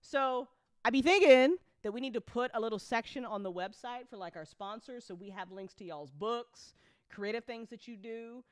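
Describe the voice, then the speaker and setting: A woman hosting a casual livestream chat as an audio drama creator, animated and joking, with informal, sweary talk full of y'all. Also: fairly high pitch, moderate pace, clear, resonant tone, no echo, studio quality. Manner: informal speech with frequent y'all. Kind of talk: casual livestream chat answering audience questions.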